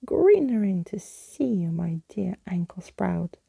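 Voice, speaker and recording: A jaunty, easily startled skeleton gentleman, acted by a woman for a bedtime storybook. The voice is whispery and very creaky, with soft dark tone and quaint, courtly turns of phrase. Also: lowish voice, sing-song, takes it slowly, dry recording, heavily acted. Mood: jaunty greeting. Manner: quaint, theatrical old-fashioned exclamations. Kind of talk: whispered storybook character reading.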